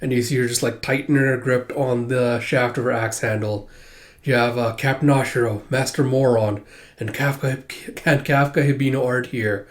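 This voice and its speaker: A man with a cold and a sore, stuffy throat recapping a manga chapter casually, halting often with filler sounds. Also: medium pitch, steady tone. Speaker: manga reviewer.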